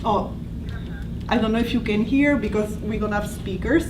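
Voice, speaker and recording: A woman's voice: mid-range voice, moderate pace. A woman with an Italian accent, a language teacher presenting to colleagues in a conversational way, explaining patiently and often restarting her sentences. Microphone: distant room mic. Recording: echoey room from far off with background noise.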